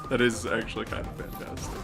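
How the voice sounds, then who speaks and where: A man's voice: on the low side, monotone, fast, gravelly, over music. A man chatting casually as a guest co-host on a let's play, giving brief reactions.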